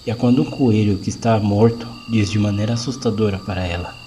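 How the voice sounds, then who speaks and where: A man's voice: on the low side, fairly even intonation, quick pace, background noise. A man with a Brazilian accent narrating a scripted horror story.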